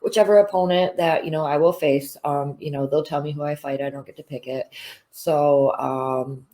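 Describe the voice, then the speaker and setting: A woman's voice: low voice, steady tone, moderate pace, warm tone. A woman in her thirties, a fighter, talking frankly in a casual interview, swearing freely and full of fillers. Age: 30s. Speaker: bare knuckle fighter.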